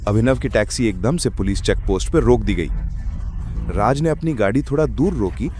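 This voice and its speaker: A man's voice: fairly low pitch, expressive, normal speed, noisy background. A man narrating a scripted audio story in a dramatic, suspenseful way. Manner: suspenseful, theatrical.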